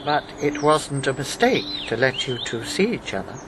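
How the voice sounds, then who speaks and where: A woman's voice: a bass voice, big pitch swings, moderate pace, background noise. An actress in a radio drama playing a shrewd, unsentimental older woman, once a British intelligence officer, blunt and assured. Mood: cool, accusing.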